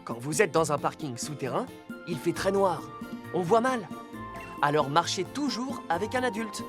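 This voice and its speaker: A woman's voice: lowish voice, expressive, normal speed, close to an everyday voice. A woman voicing a talking rescue police car in a children's cartoon, firm and instructive in manner. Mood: firm teaching. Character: calm, authoritative talking rescue police car giving safety lessons. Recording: music behind the voice.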